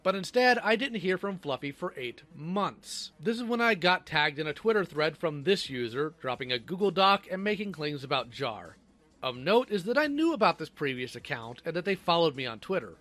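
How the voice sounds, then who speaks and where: A man's voice: fairly high pitch, big pitch swings, moderate pace, a bit bright, soft background music. A man's synthetic robot voice reading a scripted, sardonic narration. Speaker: video creator responding to allegations.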